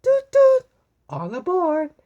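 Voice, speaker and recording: Woman doing a jolly high dinosaur train conductor. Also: wildly swooping pitch, slow pace, dark tone, dull audio, heavily acted. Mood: boisterous. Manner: announcing, boisterous.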